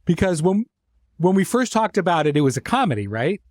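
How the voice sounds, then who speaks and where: A man's voice: high voice, very animated, a little fast, a little mellow, dry recording. A man hosting a podcast and talking casually as a series creator, informal, with dry joking asides. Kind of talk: casual podcast introduction and conversation.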